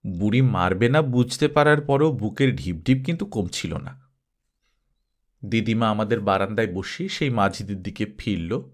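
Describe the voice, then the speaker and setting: A man reading a story aloud as an expressive scripted narration. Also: fairly low pitch, moderate pace.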